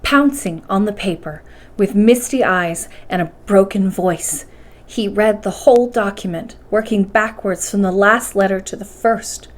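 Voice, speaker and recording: A woman reading a bedtime story aloud with expressive, carefully enunciated narration. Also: medium pitch, unhurried. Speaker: storybook reader.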